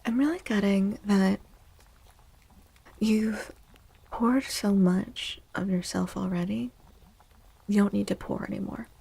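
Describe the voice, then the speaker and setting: Slow, breathy, soft-spoken woman doing ASMR. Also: mid-range voice, steady tone. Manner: gentle, reassuring, unhurried. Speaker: livestream host and tarot reader. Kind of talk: soft-spoken ASMR tarot reading, near whisper.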